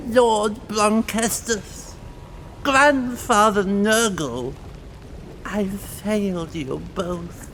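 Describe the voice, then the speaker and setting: An actor playing a fretful, boastful plague-worshipping villain in a fantasy game, fussing theatrically. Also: male voice, high-pitched, very slow, a bit bright, slight background noise, heavily acted, rough recording. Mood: defeated.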